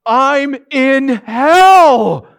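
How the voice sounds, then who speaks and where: Man putting on a high, wailing ghost. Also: expressive, creaky, exaggerated voice. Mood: tormented. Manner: drawn-out, wailing warnings. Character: moaning ghost of a long-dead brother, anguished and urgent.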